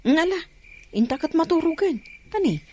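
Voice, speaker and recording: A woman's voice: very high-pitched, animated, normal speed, darkish tone, slight background noise. A woman with an Ilocano accent playing a playful, teasing friend in a radio-style drama.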